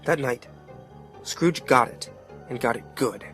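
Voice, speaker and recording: A man's voice: medium pitch, a little slow, music in the background, lightly acted. A man playing a plain-spoken working-class father who narrates a dramatic tale in an earnest, colloquial way. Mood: wry.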